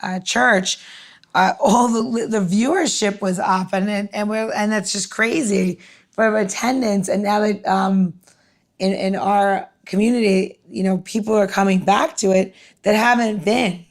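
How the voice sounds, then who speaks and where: A woman's voice: mid-range voice, steady tone, a little slow. A woman around forty co-hosting a podcast in casual, rambling, enthusiastic chat. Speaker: podcast co-host.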